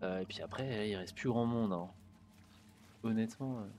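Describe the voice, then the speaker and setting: A man's voice: lowish voice, moderate pace, darkish tone, a little hiss or hum. A man streaming a video game with casual, chatty commentary, muttering and swearing as he plays. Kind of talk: casual let's-play commentary.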